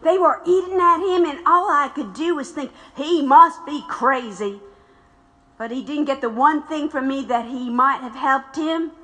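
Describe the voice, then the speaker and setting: An actress playing a grieving, defiant wife of a condemned radical, narrating with bitter reflection. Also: female voice, high-pitched, a little slow, sharp tone. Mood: reflective. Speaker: narrator and widow of a condemned man. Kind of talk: audio drama narration and acting.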